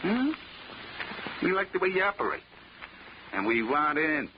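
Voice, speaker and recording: An actor in a 1940s radio drama playing a dim, cocky small-time hoodlum who talks in bad-grammar street slang. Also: male voice, a little high, sing-song, a little slow. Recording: muffled, noisy 1940s radio recording.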